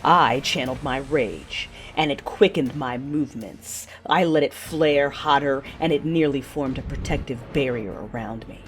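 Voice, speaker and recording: A woman playing a passionate, fierce but wounded Sith warrior, narrating her own story in an intimate, breathy audio-drama style. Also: a bass voice, normal speed, hardly any pauses. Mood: fierce, driven. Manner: intimate, breathy, intense delivery.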